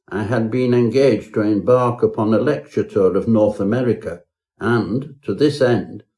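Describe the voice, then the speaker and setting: A man's voice: medium pitch, mellow. A man narrating a parody Victorian ghost story in a pompous, mock-genteel manner.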